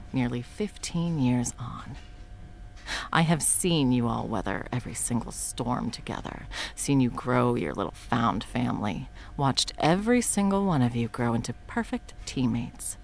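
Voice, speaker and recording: A woman's voice: extremely low pitch, vocal fry, a little hiss or hum, exaggerated voice. A woman in her thirties voicing a profane, blunt woman supervillain in an audio roleplay, mocking at first and then coaxing with pet names. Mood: scornful. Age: 30s. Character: sharp-tongued woman supervillain, long-time arch-enemy.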